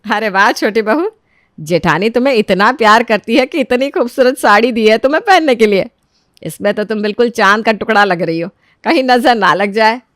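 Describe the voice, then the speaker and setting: A woman's voice: fairly high pitch, normal speed, fairly bright tone, no room sound, clean studio sound, lightly acted. A woman voicing a bossy older mother-in-law in a storybook drama, with a commanding, emphatic way of talking. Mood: delighted, proud. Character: bossy older mother-in-law, proud of her younger daughter-in-law. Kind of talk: dramatized Hindi moral storytelling.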